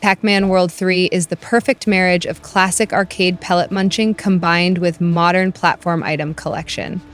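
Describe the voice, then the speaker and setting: Brief: female narrator with a low-ish voice for a scripted game review, even and measured. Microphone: voice-over.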